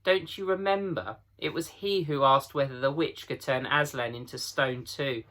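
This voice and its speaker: A man's voice: mid-range voice, moderate pace, fairly bright tone, lightly acted. A man voicing an earnest, easily distressed young girl in a storybook reading, in a plaintive manner. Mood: miserable.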